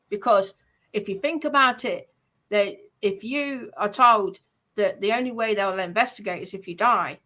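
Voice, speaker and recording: A woman's voice: medium pitch, sharp tone, rough recording. A woman in casual debate, speaking insistently and repeating her phrases.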